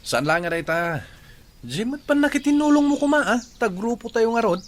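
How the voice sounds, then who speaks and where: A man's voice: very high voice, sing-song, normal speed. A man playing an exasperated young male classmate in an Ilocano radio drama. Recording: radio drama under clear music.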